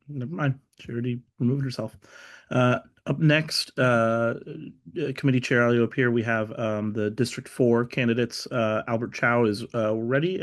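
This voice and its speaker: A man running the logistics of an online meeting, speaking briskly and procedurally. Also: mid-range voice. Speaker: meeting staff running the call. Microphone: video call.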